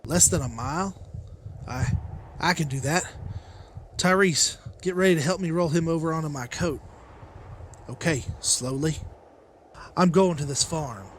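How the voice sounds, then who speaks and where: An anxious father leading survivors acted by a man, with a fairly high male voice. He speaks urgently and haltingly, as in a comic-book drama, over faint background noise. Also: fairly bright tone. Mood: urgent, determined. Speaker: voice actor in a motion comic. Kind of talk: dramatized motion comic dialogue.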